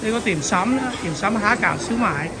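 A woman's voice: medium pitch, animated, fast talker. A woman chatting casually as she films her way through a restaurant buffet, slipping English phrases in among her commentary. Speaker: vlogger. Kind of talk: casual walk-through vlog. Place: busy indoor buffet restaurant. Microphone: handheld phone. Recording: loud crowd noise behind.

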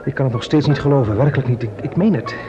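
An actor with a Netherlands Dutch accent playing a sociable, wry gentleman in an old radio play, chatty and casual. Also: mid-range voice, rapid-fire, close to an everyday voice. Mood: disbelieving. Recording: muffled old radio recording, music behind.

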